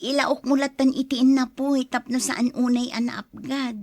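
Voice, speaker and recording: A woman acting a higher-voiced, patient Ilocano younger sister. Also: steady tone, normal speed, a little mellow, no room sound. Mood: apologetic. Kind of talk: radio-style family drama acting.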